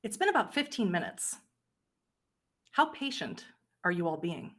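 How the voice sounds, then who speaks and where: A woman's voice: fairly low pitch, sing-song, moderate pace, a bit bright. A woman hosting and narrating a tabletop roleplay game as its storyteller.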